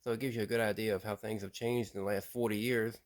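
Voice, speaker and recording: A man hosting a casual show-and-tell about radio gear, relaxed and plain-spoken, with frequent pauses and repeated words. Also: on the low side. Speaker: radio hobbyist host.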